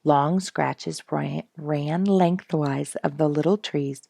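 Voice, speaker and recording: A woman reading a nature storybook aloud in an expressive, measured, dramatic storytelling manner. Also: sits low, vocal fry, dry recording, clean studio sound. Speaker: audiobook reader and show host.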